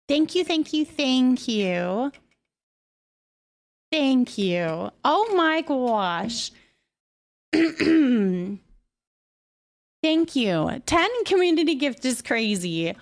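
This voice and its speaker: A woman with a Canadian accent chatting casually to viewers on a livestream, effusive and affectionate, often repeating words for emphasis. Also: a little high. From British Columbia, Canada.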